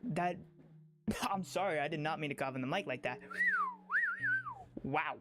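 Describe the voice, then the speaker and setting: A game streamer chatting casually on a livestream, informal and excitable, rambling along into a close microphone. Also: mid-range voice, a little slow. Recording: music under the voice. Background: music.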